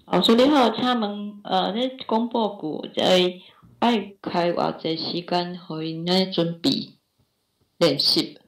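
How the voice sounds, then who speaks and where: Woman, Taiwanese accent, fairly low pitch, polite informal questioner in an online lesson, very roomy sound. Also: steady tone, slow. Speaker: class participant asking questions. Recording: echoey online class audio.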